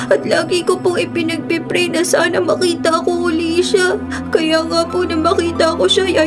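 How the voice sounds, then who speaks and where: High-pitched, plaintive little boy with music behind. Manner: plaintive, polite, pleading. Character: sad, earnest young boy abandoned by his mother. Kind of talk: Filipino radio drama acting.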